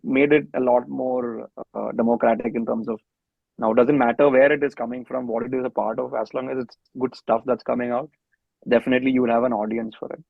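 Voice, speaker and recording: Gravelly Indian man on a phone-like line. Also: medium pitch, little pitch movement, no echo. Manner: halting, repeats words. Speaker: band musician, interview guest. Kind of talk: casual interview answers. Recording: thin, dry, phone-like connection.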